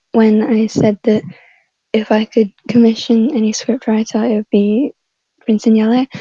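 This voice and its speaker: A woman's voice: on the high side, dark tone, breathy edge, no echo. A woman chatting casually as a podcast guest, recorded on a dull lo-fi microphone. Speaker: voice actor guest. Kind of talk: casual chat.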